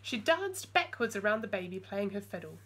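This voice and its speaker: A woman's voice: medium pitch, big pitch swings, moderate pace, fairly bright tone, slightly rough. A woman in her forties reading a picture book aloud expressively, with chatty asides to young listeners. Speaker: storytime reader. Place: small room at home with bookshelves.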